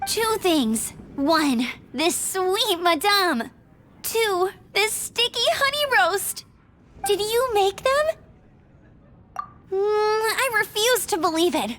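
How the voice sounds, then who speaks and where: An excitable young female knight from an animated game. The voice is high and very lively, with earnest, exclamatory phrasing over some background noise. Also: slow, full character voice. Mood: excited, playful. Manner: exclamatory, expressive. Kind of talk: animated video game voice acting.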